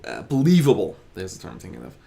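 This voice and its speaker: A man narrating a fan fiction audiobook in an expressive storytelling style. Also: fairly low pitch, quick pace, gravelly, slight background noise.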